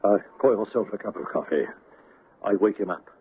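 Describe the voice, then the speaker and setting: An actor playing a formal, discreet and deferential servant in a radio drama. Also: male voice, on the low side, fairly quick, husky. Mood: wary, formal. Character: formal, discreet male servant, grave and loyal. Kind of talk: 1970s radio drama acting. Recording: tinny narrow old radio recording.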